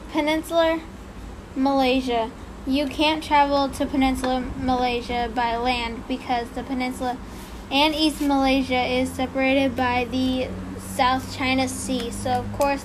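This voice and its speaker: A woman's voice: sits high, background noise. A woman co-hosting a casual chat who reads a story aloud, stumbling and apologizing and laughing at her slips. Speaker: podcast co-host reading a story.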